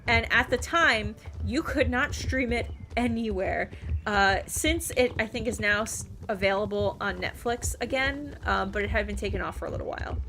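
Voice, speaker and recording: A woman's voice: on the high side, moderate pace, sharp tone, a little hiss or hum. A woman livestreaming a game, chatting and rambling casually and reading things aloud as she plays. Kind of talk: casual stream chat and game commentary.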